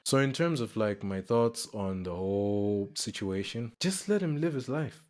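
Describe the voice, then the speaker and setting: A young man speaking as a reaction video host, giving casual, off-the-cuff commentary in a measured, reflective way, with sentences that tail off. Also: fairly low pitch, animated, darkish tone. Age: young adult.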